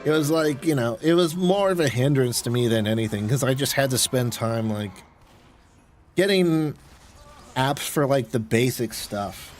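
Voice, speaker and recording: A man's voice: medium pitch, animated, a little slow, faint music behind. A man streamer chatting casually in an offhand, rambling way while playing a game. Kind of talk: casual chat while gaming. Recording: clear background game noise. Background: video game sounds.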